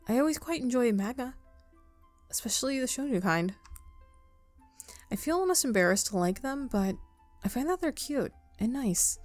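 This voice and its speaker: A woman's voice: fairly high pitch, dry recording, lightly acted. Woman voicing a shy, hesitant bookish young woman. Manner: hesitant, soft-hearted, stammering. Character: shy, bookish, easily flustered young woman who loves manga.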